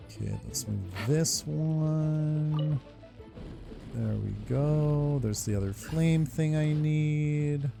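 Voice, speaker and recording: A man giving casual gameplay commentary as a video host, excitable, sweary and informal. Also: on the high side, very slow, gravelly. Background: game music.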